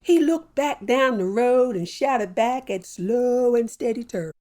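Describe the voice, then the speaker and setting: A woman hosting a children's story show, telling a folktale with a lively, playful delivery and drawn-out phrasing. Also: on the high side. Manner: warm animated storyteller with slow deliberate pacing. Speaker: storyteller host. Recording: soft music bed behind.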